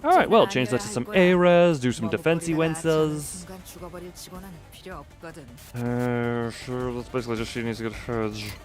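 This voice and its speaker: A man's voice: mid-range voice, measured pace, long pauses. Male streamer, sarcastic and playful, commenting live on gameplay with music underneath. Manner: wry, sarcastic, playful exclamations. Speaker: game streamer. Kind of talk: live gameplay commentary, reading dialogue aloud. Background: game music.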